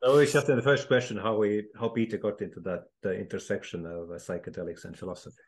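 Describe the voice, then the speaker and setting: Hesitant Estonian-accented interviewer, plain voice. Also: male voice, lowish voice, fairly even intonation, fast. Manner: hesitant, stumbling questions. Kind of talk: video call interview questions. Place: library room lined with bookshelves. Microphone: video call webcam.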